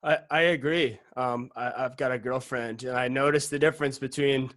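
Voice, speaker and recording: A man's voice: medium pitch, fairly even intonation, moderate pace, fairly bright tone, no room sound. Male interview host, dry close sound. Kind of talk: casual conversational interviewing.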